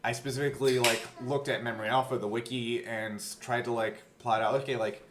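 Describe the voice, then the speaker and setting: A man co-hosting as game master, explaining things casually in halting, piecemeal phrases. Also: mid-range voice, flat delivery, crowd noise. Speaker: game master and podcast co-host.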